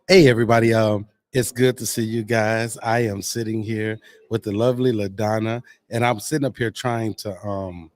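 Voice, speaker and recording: A man's voice: on the low side, fairly even intonation, moderate pace, few pauses. An American man chatting casually on a live show as a host, earnest and encouraging in how he talks. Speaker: podcast host and actor.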